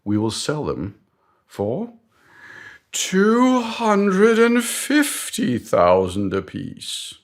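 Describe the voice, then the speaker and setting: A man's voice: high voice, huge pitch swings, very slow, pitched above the performer's own voice, full character voice. A man voicing a smug British boardroom executive in a comedy sketch, self-assured and emphatic. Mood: smug, confident.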